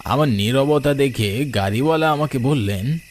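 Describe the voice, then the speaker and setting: A man giving a scripted, dramatic first-person narration of an animated horror story. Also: medium pitch, normal speed. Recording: clear background noise of night crickets.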